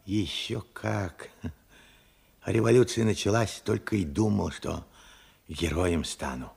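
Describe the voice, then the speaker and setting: An actor playing a frank, weary man in his late seventies in a radio drama, with a gravelly, husky voice and a halting, reflective manner. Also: deep voice, a little mellow, full character voice. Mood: wistful, regretful. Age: 70s. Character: weary, frank 78-year-old Russian worker recalling his life. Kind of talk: Soviet radio drama acting.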